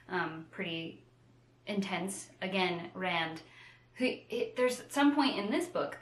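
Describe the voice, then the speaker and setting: A woman co-hosting a casual book discussion, retelling in an animated way with playful, slangy asides. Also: mid-range voice. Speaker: co-host of a book discussion show. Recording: roomy echo.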